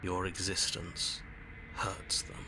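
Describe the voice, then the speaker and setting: A man playing a grave, cruel narrator in a horror game, speaking slowly and deliberately in an ominous manner. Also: deep voice, completely flat delivery, gravelly, noisy background, exaggerated voice. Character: grave, cruel male narrator pronouncing bleak doom.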